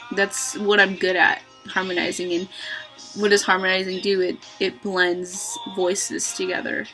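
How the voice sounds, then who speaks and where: A young woman talking to her webcam in a small bedroom, thinking aloud with many pauses and ums. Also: sharp tone, poor audio. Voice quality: low-voiced for a woman, by her own account. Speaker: vlogger. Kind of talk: reflective casual monologue. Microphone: webcam at close range.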